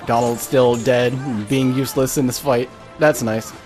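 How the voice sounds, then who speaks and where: A man giving casual, halting live game commentary, breaking off to exclaim at the game. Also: medium pitch, steady tone, a little mellow, soft background music. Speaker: gaming video creator.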